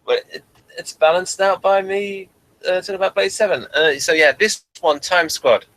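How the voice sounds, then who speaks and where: A man's voice: sits high, moderate pace, a bit bright, dry recording, rough recording. A man hosting a casual, unscripted review chat, steering it along in a hesitant, trailing way. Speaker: host of review show.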